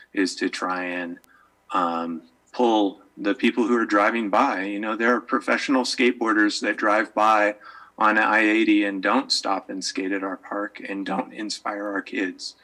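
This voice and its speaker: A man speaking for a community project at a public meeting, earnest, with halting phrasing. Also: lowish voice, pushed and bright, poor audio. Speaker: skateboarder speaking for a skate park project.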